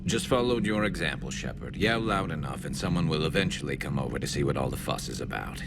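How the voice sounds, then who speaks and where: A man playing a battle-weary alien soldier in a video game, loyal and dryly wry, with a deep gravelly voice. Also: expressive, fast talker, loud music behind the voice, full character voice. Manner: dry, wry, understated. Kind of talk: video game character dialogue. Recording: loud background noise of a game scene.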